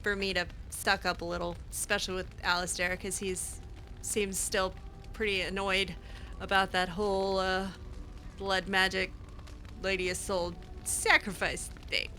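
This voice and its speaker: A woman's voice: mid-range voice, flat delivery, measured pace, bright, forceful tone, soft background music. A woman improvising in-character roleplay as she plays a game, chatty, hesitant and wry. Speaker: gaming channel host roleplaying a mage character.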